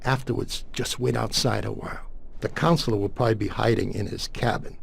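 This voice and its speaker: A man acting a cool, streetwise mob consigliere in a video game, briefing in slang with a raspy, gravelly voice. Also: on the low side, steady tone, brisk, full character voice. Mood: calm, instructing.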